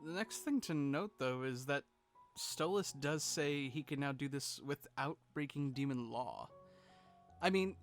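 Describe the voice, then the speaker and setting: Higher-pitched man over music, casual commentary. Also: animated, measured pace. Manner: casual, sweary. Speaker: video co-host. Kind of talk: scripted commentary with casual asides. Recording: music playing behind the voice. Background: music bed.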